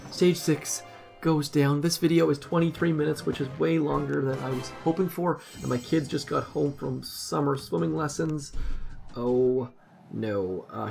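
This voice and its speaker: A man's voice: mid-range voice, creaky. A man, likely in his thirties, casually commenting on his own mobile gameplay at home, thinking aloud and poking fun at himself. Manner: chatty, self-deprecating, thinks aloud. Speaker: gaming channel host. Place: home room.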